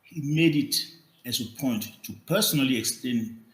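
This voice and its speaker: Cameroonian-accented male tribute speaker, mid-pitched, formal and deliberate, in an echoey room. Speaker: funeral tribute speaker, business executive. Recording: roomy, echoing speech recording.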